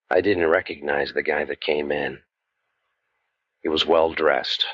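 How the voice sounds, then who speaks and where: An actor playing a cynical, wisecracking waterfront private eye, narrating old-time radio noir in a deadpan, world-weary manner. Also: male voice, extremely low pitch, dry recording, highs cut off, full character voice. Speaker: narrator and lead detective.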